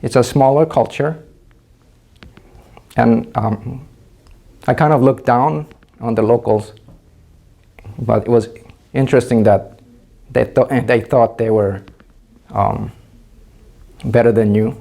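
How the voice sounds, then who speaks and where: Halting gravelly Korean-accented man. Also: mid-range voice, steady tone, warm tone. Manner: halting, with restarts and pauses. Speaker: interviewee. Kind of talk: personal testimony.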